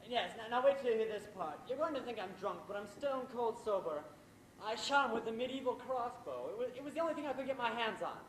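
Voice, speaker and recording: A man's voice: very high-pitched, animated, fast, a bit bright. A man playing a scheming middle-aged playwright in a stage thriller, dryly sardonic and politely hesitant. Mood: feigned calm. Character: scheming middle-aged male playwright, suave, wry and murderous. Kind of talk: theatrical stage thriller acting. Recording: echoey, very noisy amateur stage play recording.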